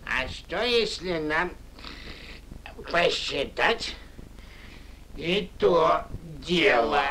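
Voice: mid-range voice, animated, very slow, pushed and bright, slight background noise, heavily acted. A performer playing a greedy, fawning rich mole crony in a classic cartoon, droning theatrically. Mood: fawning, smug. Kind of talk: classic Soviet cartoon voice acting. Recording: old cartoon soundtrack, faint music.

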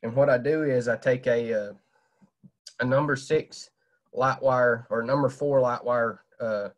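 A man's voice: mid-range voice, steady tone, measured pace. A young man, giving a casual fishing talk over a webcam with many "uh" fillers. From Southwest Virginia. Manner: plain-spoken, many "uh" fillers. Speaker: fishing presenter. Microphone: webcam on video call.

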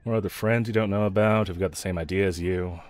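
Male actor as fantasy mercenary, deep voice, wry, teasing, laid-back, pragmatic, protective, intimate roleplay delivery to the listener. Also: fairly even intonation, fast, no room sound, lightly acted. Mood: reassuring.

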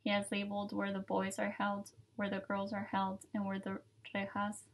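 Flat-voiced woman reading testimony aloud. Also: medium pitch. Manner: steady, measured reading from paper. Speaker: reader of a legal declaration. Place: indoor room with dark curtain, seated at table.

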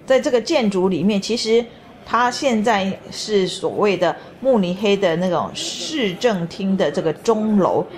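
A middle-aged woman, describing food and sights in a measured, enthusiastic travel voice-over. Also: medium pitch, lively intonation, a little mellow. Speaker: travel vlog co-host. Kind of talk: casual travel commentary voice-over.

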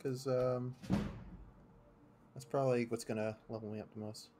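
A man's voice: medium pitch, steady tone, moderate pace, warm tone, slight background noise. A young man streaming a game from his home room, chatting casually with plenty of fillers and restarts. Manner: rambling, many fillers and restarts. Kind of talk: casual chat while gaming.

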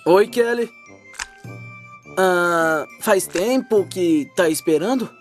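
A woman's voice: fairly low pitch, slow, music in the background. A woman with a Brazilian accent voicing an impatient, grumbling teenage boy in a cartoon fan dub. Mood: awkward.

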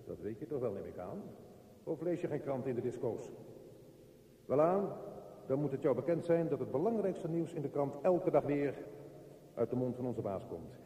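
A man's voice: mid-range voice, lively intonation, moderate pace, pauses often, warm tone, lightly acted. Man acting a pompous office boss in echoey room. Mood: pompous, stern. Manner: pompous, lecturing. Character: bossy, self-important office manager, grumpy and pedantic. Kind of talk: radio play monologue. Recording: roomy, reverberant, distant from the mic.

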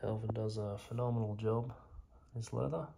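A man reviewing a product hands-on, speaking casually in short, halting, appreciative remarks, recorded on a phone camera. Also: on the low side, fairly even intonation, mellow. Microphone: phone camera mic. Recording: clear background noise, phone-camera recording.

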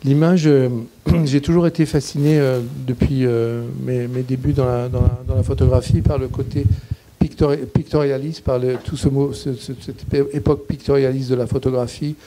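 Older guy in his 60s, very dark low-lit voice, keeps searching for words, handheld mic in a small room, thoughtful q&a answers. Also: steady tone, a little hiss or hum, rough recording. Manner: halting, searching for words, gesturing. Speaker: guest cinematographer. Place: small indoor screening room in a shop. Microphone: handheld mic, standing.

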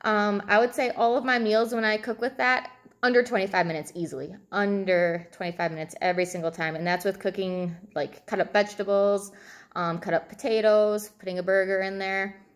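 A woman's voice: mid-range voice, steady tone, fairly quick. A woman in her thirties giving informal advice to camera as a coach, conversational and thinking aloud as she goes. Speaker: fitness and nutrition coach. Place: indoors, small room at home, seated at a table.

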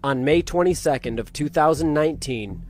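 A man narrating a true-crime case in a steady, matter-of-fact way. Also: fairly high pitch, normal speed, faint music behind.

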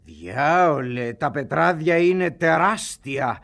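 An actor playing an excitable seducer thief in a radio comedy, exclaiming theatrically. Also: male voice, on the high side, gravelly. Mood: excited.

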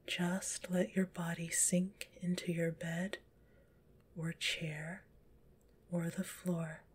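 Breathy whispering woman, very slow and soothing. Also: on the low side, level tone. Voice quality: stuffy-nosed. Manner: soothing, gentle, unhurried. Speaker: guided visualization narrator. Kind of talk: whispered ASMR guided relaxation.

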